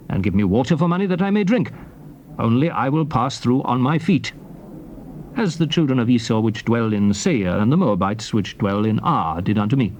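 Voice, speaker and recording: An actor playing a solemn old prophet recounting conquests to his people, in declamatory, measured theatrical scripture style. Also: medium pitch, darkish tone, lightly acted. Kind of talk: dramatized scripture reading, theatrical oratory. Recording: faint noise from old cassette tape.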